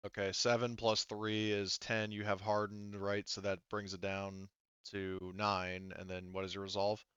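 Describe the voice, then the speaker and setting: Male game master, smooth low voice, casual and halting, slightly dull close recording. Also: completely flat delivery, a bit bright, no room sound. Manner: halting, thinks aloud while checking rules. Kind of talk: running a tabletop roleplaying game, casual.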